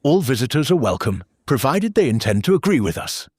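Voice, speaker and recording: An actor playing a stiff, rule-bound male church minister who reads announcements in a formal, deadpan, bureaucratic way. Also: medium pitch, brisk, husky. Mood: solemnly formal. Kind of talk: satirical audio drama.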